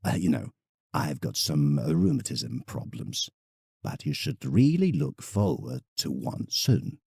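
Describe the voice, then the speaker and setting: An actor playing a conceited, eccentric older painter in an audio drama, speaking in a husky, gravelly voice with rambling asides. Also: male voice, rumbling low, wildly swooping pitch, measured pace, warm tone, no room sound, clean studio sound, full character voice. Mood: chatty. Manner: pompous, rambling, hesitant asides. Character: conceited, eccentric older male English painter writing letters. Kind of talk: audio drama letter monologue.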